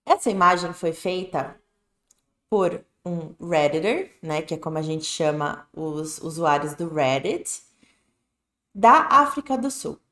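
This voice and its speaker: A woman's voice: low-pitched, animated, slow pace, fairly bright tone. A woman with a Brazilian Portuguese accent, teaching an English lesson on a live stream in a casual, direct way, often checking in with "né?" and "tá bom?". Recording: echoey room.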